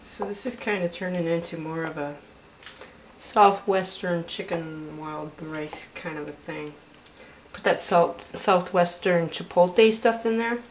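A woman's voice: lowish voice, a little slow. A young woman talking casually while she cooks at home, joking to the camera. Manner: chatty, joking asides to the camera. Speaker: home cooking vlogger. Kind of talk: casual cooking demo. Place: home kitchen, indoors. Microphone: camera mic from a few feet away. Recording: echoey kitchen, distant camera mic, noisy.

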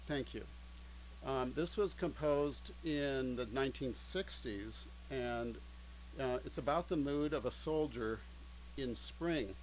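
A man's voice: mid-range voice, rough recording. Hesitant older man over a humming video call. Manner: halting, hesitant delivery. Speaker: musician introducing a piece. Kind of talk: informal spoken introduction to a song. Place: indoors, cluttered home study. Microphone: video call, mic at a short distance. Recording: video call with background hum.

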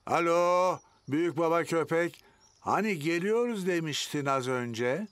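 A man's voice: fairly high pitch, a little slow, noisy background, close to an everyday voice. An actor playing a fussy, grumbling old grandfather pig in a dubbed cartoon. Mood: grumpy. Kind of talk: cartoon dub acting.

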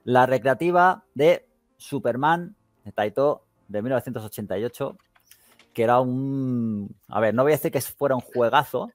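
A man's voice: medium pitch, normal speed, no echo, soft background music. A man from Spain with a Castilian accent, chatting casually and in slangy colloquial terms as a gaming podcast host. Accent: Castilian Spanish. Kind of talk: casual chat.

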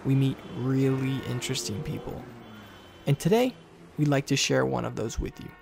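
A man delivers scripted narration in a gravelly, creaky voice. The pitch is average for a man. A little background ambience sits behind him. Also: moderate pace, background noise. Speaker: narrator of a nonprofit's intro.

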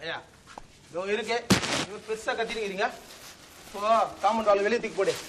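An actor playing a ruthless gang leader who barks orders and threatens. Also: male voice, high voice, a little fast, heavy background noise, close to an everyday voice. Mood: commanding. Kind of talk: film drama acting.

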